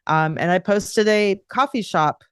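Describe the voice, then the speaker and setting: A woman's voice: mid-range voice, measured pace, smooth, no room sound, clean studio sound. A woman talking as a guest on a live podcast, chatty and full of restarts. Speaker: guest grammar advisor. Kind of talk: casual live podcast chat.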